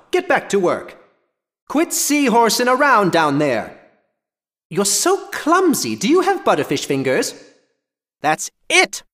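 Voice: mid-range voice, a bit bright, no room sound, clean studio sound. An actor voicing a nerdy, easily exasperated aquarium biologist in a comic video game, with theatrical, exclamatory delivery. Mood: irritated.

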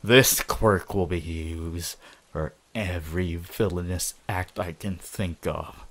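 A man voicing a sinister young male villain in an ASMR roleplay, possessive and grandiose, speaking in a gloating, deliberate manner with dramatic pauses. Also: low-pitched, steady tone, fairly bright tone, exaggerated voice. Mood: possessive, hypnotic. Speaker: voice actor.

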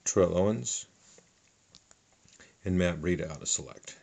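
A middle-aged man calmly listing sports cards one by one at a table, with a lot of fillers. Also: sits low, measured pace, creaky, breathy edge. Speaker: card collector. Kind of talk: casual card unboxing narration. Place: indoors at a table.

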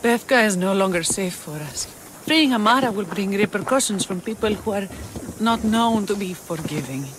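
An earnest, high-voiced ally. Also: male voice, expressive, moderate pace, noisy background. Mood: grateful.

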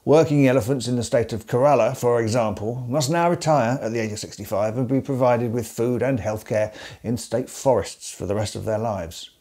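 Deadpan English man with a mellow voice. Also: mid-range voice, normal speed. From England. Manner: dry, deadpan and wry. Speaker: host. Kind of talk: scripted comedic narration. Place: indoors, seated at home. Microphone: facing camera at close range.

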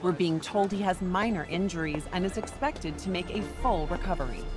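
A woman's voice: on the low side, a little fast, natural voice. A woman playing a formal, matter-of-fact news reporter in a video game. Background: background music.

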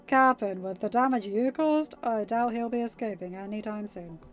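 A woman voicing a fierce, loyal young male pirate in a narrated story, threatening and quick to snarl. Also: a little high, muffled recording, faint music behind, lightly acted. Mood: wry. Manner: growling, threatening.

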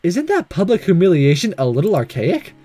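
Guy reading a teen student in a visual novel, sincere n apologetic, plain highish voice. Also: huge pitch swings, brisk, mellow, soft background music, exaggerated voice. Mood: puzzled. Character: earnest, apologetic teenage student protagonist.

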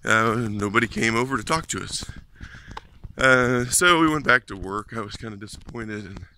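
A man in his forties talks into a phone held at arm's length as he walks down a suburban street. His voice is bright and slightly low, and his manner is conversational and earnest. Faint outdoor noise sits under the voice. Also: normal speed. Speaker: ministry vlogger. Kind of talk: casual walking vlog. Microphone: phone at arm's length while walking.

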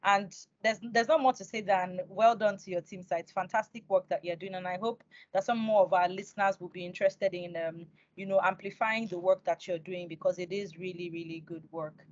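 A woman's voice: on the low side, steady tone, fairly quick, few pauses, dry recording. A young woman hosting a conversational interview over a video call. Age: young adult. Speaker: interviewer, host. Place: indoors, close to the device, dry sound. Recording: dull lo-fi video-call audio.

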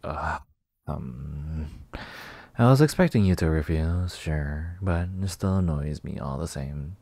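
A man playing a flirty, possessive, delusional vampire master in a roleplay ASMR monologue, teasing and theatrical with drawn-out words. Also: a bass voice, expressive, vocal fry, mellow, no echo, heavily acted. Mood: irritated coaxing.

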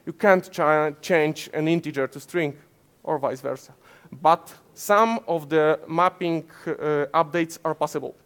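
Polish male speaker, 30s, conference stage, slightly high voice, lots of uhs and restarts. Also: measured pace, a bit bright. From Poland. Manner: many fillers and self-corrections, gesturing while explaining. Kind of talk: technical conference talk. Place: conference stage in front of slides, indoors.

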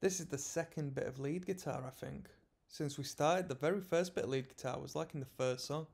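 A young man in his twenties hosting a music reaction, talking in a relaxed, rambling, slightly sleepy way from a small room. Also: on the high side, fairly quick, gravelly. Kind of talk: casual music reaction commentary. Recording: song playing faintly behind.

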